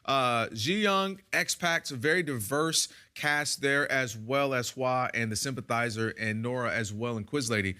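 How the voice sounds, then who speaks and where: A man moderating a roundtable, asking measured questions. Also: mid-range voice, sharp tone, clean tone. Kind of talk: interview questions.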